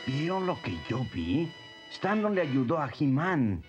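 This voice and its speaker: Serious cartoon sidekick, unhurried, expressive, fair-minded, music behind. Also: male voice, on the high side, muffled recording. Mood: doubtful, earnest. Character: serious, doubting but fair adult male companion of hero. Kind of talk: cartoon dub acting.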